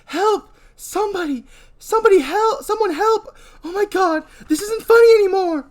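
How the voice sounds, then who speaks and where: A woman's voice: extremely high pitch, normal speed, darkish tone, voice pushed up. A woman voicing a frightened young woman crying for help. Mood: screaming. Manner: screaming, panicked cries.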